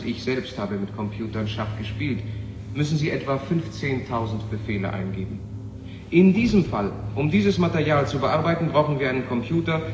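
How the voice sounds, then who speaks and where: A man's voice: mid-range voice, muffled recording, lightly acted. An actor playing a brooding, intense male physicist in a German radio drama from 1970. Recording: echoey hall, noisy old radio recording.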